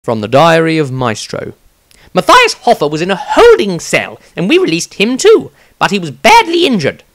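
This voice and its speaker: A man's voice: high voice, wildly swooping pitch, moderate pace, a bit bright, no echo, studio quality. A man reading scripted fantasy narration as a dramatic storyteller. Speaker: author reading his own story.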